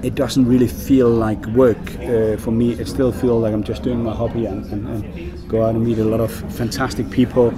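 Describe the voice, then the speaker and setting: A man's voice: medium pitch, level tone, moderate pace, hardly any pauses, a crowd in the background. A Danish man working as a whisky brand ambassador, speaking English in a casual interview, with many fillers and repeated words. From Denmark.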